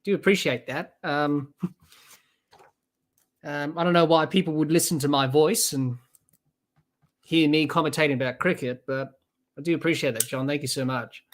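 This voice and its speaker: A young Australian podcast host calls a cricket match live in a loose, rambling way. They chat to listeners and read out their comments between deliveries. The voice sits at an ordinary middle pitch. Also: steady tone, measured pace, pauses often. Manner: chatty, rambling, reads out viewer comments.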